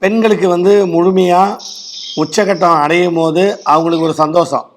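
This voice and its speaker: A man's voice: high voice, level tone, moderate pace. A man with a Tamil Nadu accent, a doctor advising a caller in a blunt, explanatory way during a phone-in consultation.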